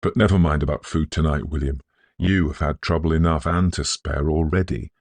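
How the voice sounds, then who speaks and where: Man acting a proud, husky young gentleman. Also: extremely low pitch, steady tone, brisk, no echo. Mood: cheerful, grateful. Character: proud, emotional young Victorian gentleman, cast out by his father.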